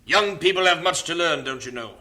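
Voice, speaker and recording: An actor playing a stern, fussy older mission director who speaks in clipped, formal phrases. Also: male voice, a little high, expressive, fairly quick, bright, forceful tone. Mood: stern, doubtful. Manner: clipped, formal British-sounding phrasing, "don't you know".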